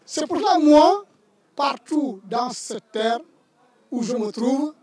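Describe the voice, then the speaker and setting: High-pitched man in a documentary, urges and exhorts, dry close sound. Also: expressive, slow, a little mellow, no echo. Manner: emphatic, exhorting. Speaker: interviewee. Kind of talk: documentary testimony.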